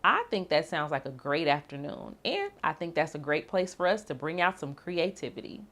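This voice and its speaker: A woman reading a children's picture book aloud with a rhythmic, bouncy, expressive delivery. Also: low-pitched, normal speed. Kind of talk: animated storybook read-aloud.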